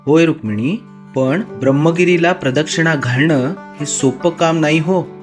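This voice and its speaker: A man voicing a calm, caring father of four in an animated moral story, speaking in a steady, reassuring way. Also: fairly high pitch, normal speed, soft background music, lightly acted. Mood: earnest, cautioning.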